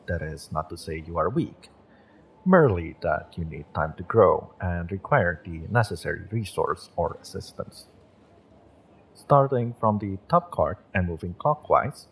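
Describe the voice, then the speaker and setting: Man playing a deep, husky, unhurried fortune teller. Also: exaggerated voice. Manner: measured, mystical, reassuring. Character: gentle, encouraging male magick shop owner and tarot reader. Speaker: voice actor in an audio roleplay. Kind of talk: intimate scripted roleplay, speaking to the listener.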